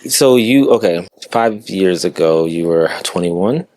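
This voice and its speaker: Podcast host: man, deep voice, informal conversational interviewer, dry close recording. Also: lively intonation, a little slow, darkish tone, no echo. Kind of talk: casual interview.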